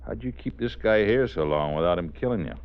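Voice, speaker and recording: An actor playing a steady, matter-of-fact policeman in 1950s radio drama style. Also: on the low side, fairly quick, smooth, lightly acted. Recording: muffled, noisy old radio recording.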